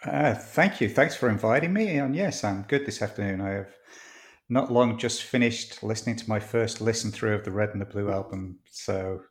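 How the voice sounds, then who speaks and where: A chatty older man with a northern English accent and a fairly low voice, rambling through his stories as a guest. He fills his pauses with ums and keeps a casual, easygoing flow. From northwest England, near Manchester. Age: 60s. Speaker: author and podcast guest. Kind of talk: casual interview chat.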